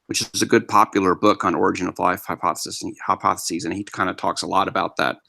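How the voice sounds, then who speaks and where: A man explains ideas with eager energy in a casual online discussion. His voice is low and gravelly with a bright edge. The sound is dry and close. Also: fairly even intonation, fast, no room sound. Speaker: debate guest.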